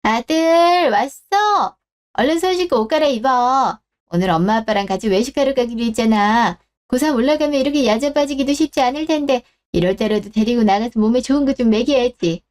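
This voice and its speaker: A woman playing a brisk middle-aged mother. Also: a little high, a little mellow, natural voice. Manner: brisk, bossy. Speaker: voice actor reading a radio drama. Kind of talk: radio drama acting.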